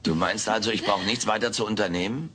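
A man's voice: lowish voice, fast talker, fairly bright tone, slight background noise, natural voice. A man voicing a cheeky, brash alien houseguest in an audio drama, wisecracking and theatrical with mock innocence. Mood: sheepish. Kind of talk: German audio drama dub acting.

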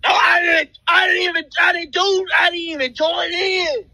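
A man's voice: very high-pitched, level tone, normal speed, gravelly, bright, forceful tone, no room sound, shouting. A young American man crying and pleading in a whiny, upset way into a phone held close. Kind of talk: emotional pleading on a phone call.

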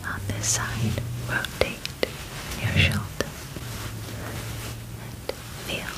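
A young woman in her twenties playing a calm, clinical female alien examiner in a whispered ASMR roleplay, giving deliberate, formal instructions close to the microphone. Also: poor audio. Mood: calm, methodical. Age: 20s. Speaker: ASMR performer. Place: bedroom, indoors.

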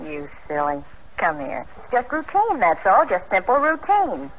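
An actress playing a breezy, fearless young wife and circus performer in an old-time radio drama. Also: female voice, medium pitch, wildly swooping pitch, a little slow. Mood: cheerful, soothing. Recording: noisy vintage radio broadcast recording.

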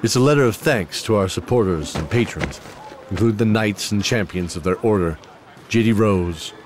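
A man's voice: fairly low pitch, expressive, moderate pace, noisy background, natural voice. A man playing a genial fantasy guide who hosts an audio drama, theatrical and folksy, with hesitant asides. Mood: welcoming. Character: genial male guide sending adventurers off. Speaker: host and storyteller. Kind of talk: in-character fantasy audio drama host narration. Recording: music behind the voice.

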